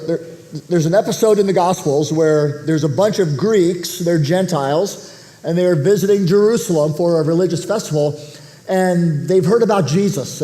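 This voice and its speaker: A male pastor delivers a sermon with a stuffy, nasal voice from a cold. His tone is dark and mellow, pitched a little high for a man, and he talks conversationally, restarting phrases and clearing his throat. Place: church auditorium.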